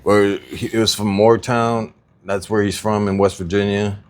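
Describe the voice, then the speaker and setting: A man's voice: fairly low pitch, little pitch movement, moderate pace, a little mellow. A man co-hosting a podcast, musing in casual chat and asking questions. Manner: conversational, asks questions, musing. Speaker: podcast co-host.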